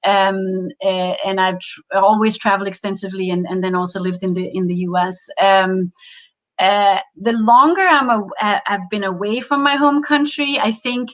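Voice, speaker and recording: A woman's voice: medium pitch, steady tone, moderate pace. A Danish woman guest in an interview, talking with many fillers and restarts. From Denmark. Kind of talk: interview conversation. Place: home in Oregon woods.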